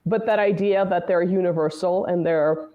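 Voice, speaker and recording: A woman's voice: on the low side, steady tone, moderate pace, phone-quality sound. A woman speaking as a guest commentator in a casual political discussion, talking at length and often adding "right?" and "you know". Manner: talkative, many "right?" and "you know" tags.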